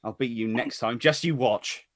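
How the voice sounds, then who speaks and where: A man's voice: medium pitch, moderate pace, fairly bright tone, close to an everyday voice. A man playing a good-natured, bantering pub regular in a radio comedy. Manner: bantering, chuckly. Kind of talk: radio comedy play reading.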